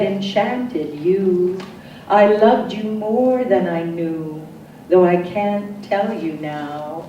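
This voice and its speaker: A woman poet reading her own work as a dramatic recitation, solemn and deliberate, with emphatic phrasing and pauses. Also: sits low, warm tone. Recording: echoey, distant mic, noisy room.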